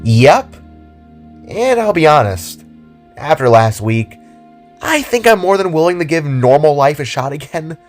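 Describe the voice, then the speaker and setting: Man voicing an upbeat high-pitched teenage girl heroine. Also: sing-song, a little slow, soft background music. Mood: confident, earnest.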